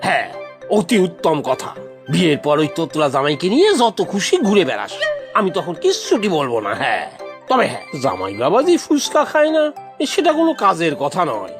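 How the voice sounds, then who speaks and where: A woman's voice: deep voice, wildly swooping pitch, a little fast, over music, full character voice. A woman voicing a comic, boastful, food-loving father in a cartoon, with blustering, exclaiming delivery and emphatic little tag words. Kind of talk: exaggerated cartoon voice acting.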